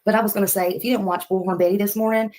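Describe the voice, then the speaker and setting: A woman's voice: mid-range voice, fairly even intonation, racing, darkish tone. A woman from Nashville with a Southern US accent, hosting a casual livestream in a folksy, rambling, polite way. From Nashville, United States. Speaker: livestream host.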